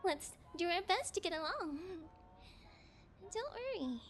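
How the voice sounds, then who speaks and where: Woman playing a high-voiced, playfully menacing female kidnapper. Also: very animated, slight background noise, full character voice. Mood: sweetly menacing. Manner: sugary taunting, drawn-out, theatrical mock pouting. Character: playful young female fiend kidnapper, sociopathic, childish, mocking. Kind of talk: ASMR audio roleplay.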